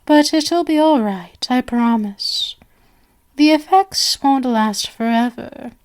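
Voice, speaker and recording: A woman's voice: high-pitched, takes it slowly, smooth. A woman playing a genial but sinister stage magician, possessive and doting, with flowery, coaxing endearments in an ASMR roleplay. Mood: soothing, sinister.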